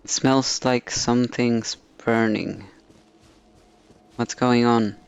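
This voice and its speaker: A man streaming a game, giving casual, halting, musing commentary. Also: medium pitch, fairly even intonation, vocal fry, smooth, lo-fi sound. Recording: faint game music behind.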